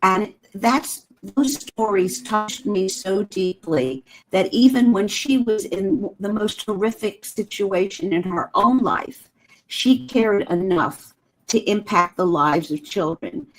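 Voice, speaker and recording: A woman's voice: mid-range voice, lively intonation, slow pace, low-quality recording. An older woman whose first language is German, telling a personal story as a guest with earnest, heartfelt feeling.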